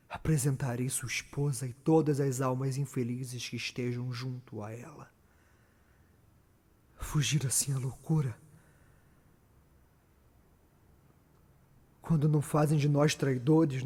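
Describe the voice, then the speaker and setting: A man's voice: medium pitch, steady tone, moderate pace, husky, close to an everyday voice. An actor playing a brooding, guilt-haunted murderous king in a solemn, declamatory theatrical monologue. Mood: cold, menacing. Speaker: stage actor. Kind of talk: dramatic theatrical monologue of Shakespeare. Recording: long hall reverb under loud music.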